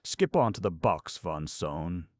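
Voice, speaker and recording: A man reading aloud voices a boisterous, mocking old father who shouts and jeers. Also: low voice, sing-song, slow pace, full character voice. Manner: shouting, jeering, theatrical.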